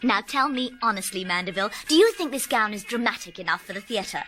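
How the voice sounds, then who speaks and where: An actress with a British accent playing a poised, playful, quick-witted young society lady in a period detective drama. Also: medium pitch, animated, loud music behind the voice, lightly acted. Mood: brisk charm. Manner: arch, self-assured, formal.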